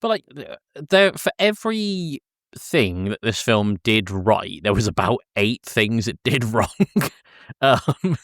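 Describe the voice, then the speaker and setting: A man's voice: on the low side, expressive, slow pace, fairly bright tone, dry recording, studio quality. A man in his thirties co-hosting a podcast, giving casual, opinionated talk about film. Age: 30s. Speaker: podcast co-host.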